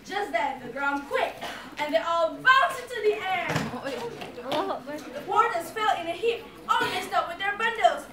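A woman's voice: very high voice, lively intonation, measured pace, heavy background noise. A young woman reading story narration dramatically as a student in readers theatre. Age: young adult. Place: large lecture hall, far camera in audience. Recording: echoey lecture hall from far, loud audience.

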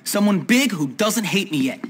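An actor playing an embittered, combative expelled schoolboy in a video game, blunt and sarcastic, with an American accent. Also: male voice, high voice, sing-song, normal speed, a little hiss or hum. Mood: frustrated, dejected. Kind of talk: video game cutscene acting.

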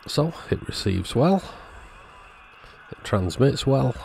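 A man narrating a hands-on repair walkthrough in a casual way, as the host of a video channel, with a gravelly, husky voice. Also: mid-range voice, sing-song, slow pace, a little mellow, a little hiss or hum, rough recording.

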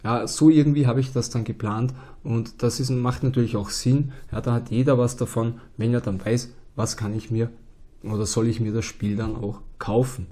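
A man's voice: mid-range voice, moderate pace. A man in his thirties with an Austrian accent and a cold, chatting to camera as a board game channel host in a small room at home. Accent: Austrian German. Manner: relaxed and chatty, with many filler "ja"s and "ähm"s. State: has a cold. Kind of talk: casual talk to camera.

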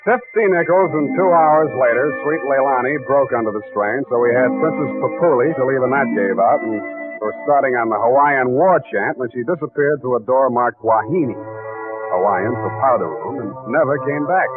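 An actor playing a dry, sardonic hardboiled private detective in a 1940s radio drama. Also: male voice, mid-range voice, moderate pace, over music, natural voice. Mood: wry narration. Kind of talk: 1940s radio acting and narration. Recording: tinny vintage 1940s radio broadcast.

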